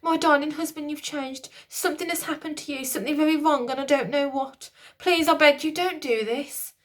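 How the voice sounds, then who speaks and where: Woman voicing a shrill desperate queen. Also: moderate pace, lightly acted. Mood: pleading. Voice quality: high, pleading, shrill when upset. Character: proud frightened queen, middle-aged woman.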